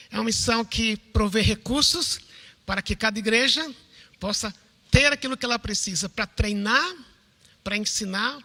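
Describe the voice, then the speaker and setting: A man's voice: sits high, creaky, sharp tone. A Brazilian Portuguese-speaking man, a pastor welcoming guests and addressing his congregation in a church. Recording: reverberant church hall sound system.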